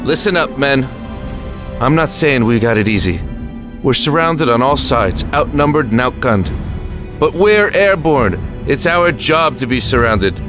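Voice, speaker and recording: A man plays a steadfast military officer in an audio drama. His mid-pitched voice is firm and rousing, like a commander rallying troops, and music runs clearly beneath it. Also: animated, a little slow, natural voice. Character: resolute, rousing male Allied airborne officer. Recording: music under the voice.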